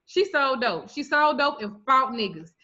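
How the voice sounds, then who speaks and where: A woman in her forties, a spiritual reader, telling stories on a livestream in a blunt, profane, animated way. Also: high voice, measured pace. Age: 40s. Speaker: spiritual reader and root worker. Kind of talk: casual livestream talk. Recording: muffled lo-fi stream audio.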